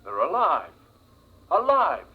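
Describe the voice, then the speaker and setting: An actor playing an astonished scientist scout with an emphatic delivery, in 1950s radio drama style. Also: male voice, fairly high pitch, huge pitch swings, slow, slightly rough, exaggerated voice. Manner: emphatic, incredulous. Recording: dull vintage radio recording.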